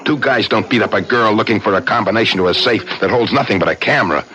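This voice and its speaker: An actor playing a tough, suspicious police inspector in a 1970s radio drama, blunt, slangy and pressing like an interrogator. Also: male voice, on the low side, fast, sharp tone. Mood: pushy, suspicious. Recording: noisy old 1970s radio recording.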